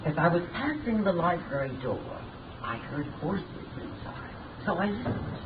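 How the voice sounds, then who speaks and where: A woman's voice: lowish voice, sing-song, normal speed, narrow, tinny audio. A woman acting a nervous, respectful housekeeper who speaks hesitantly in a 1930s radio drama. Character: deferential, nervous female housekeeper. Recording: distant, echoey, scratchy 1930s radio.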